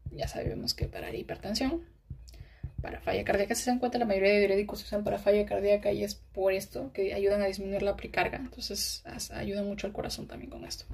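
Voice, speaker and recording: Gravelly-voiced woman tutoring pharmacology. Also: mid-range voice. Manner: checks in often with rhetorical questions. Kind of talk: explaining a diagram while writing.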